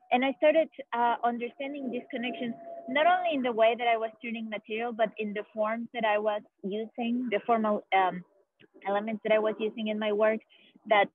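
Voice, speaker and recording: A woman's voice: mid-range voice, dry recording. A woman in her thirties from Mexico with a Mexican Spanish accent, a ceramic artist answering reflectively, searching for words, recorded outdoors on an earbud mic. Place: outdoors by a concrete wall. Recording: dry, phone-like audio.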